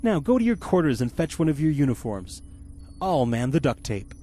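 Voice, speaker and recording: An actor playing a vain, bombastic, incompetent spaceship captain in a campy 1950s-style space radio serial. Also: male voice, fairly high pitch, very animated, normal speed, warm tone, faint music behind. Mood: pompous, self-important. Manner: pompous, theatrical.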